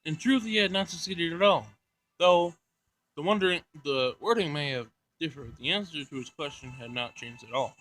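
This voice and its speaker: Bright-voiced man reading a visual novel. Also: on the high side, expressive, normal speed, rough recording. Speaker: gaming channel host. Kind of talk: reading a visual novel aloud with casual comments.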